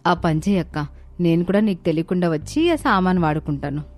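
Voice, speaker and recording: A woman voicing a sly, food-loving young daughter-in-law in a cartoon story, expressive and conspiratorial. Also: fairly low pitch, brisk, soft background music, natural voice. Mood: gleeful, sneaky. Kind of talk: dramatized moral-story cartoon acting.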